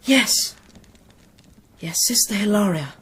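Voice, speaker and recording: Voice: mid-range voice, animated, slow pace, a bit bright, slightly rough, exaggerated voice. An actor playing an earnest, anxious young boy fleeing war in a radio drama. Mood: gentle, earnest. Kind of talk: BBC radio drama acting.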